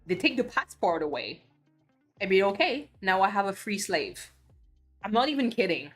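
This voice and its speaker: A woman's voice: medium pitch, sing-song, normal speed, soft background music. A woman from Belgium, a streamer chatting casually and ranting bluntly with cursing and many restarts. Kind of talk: casual chat.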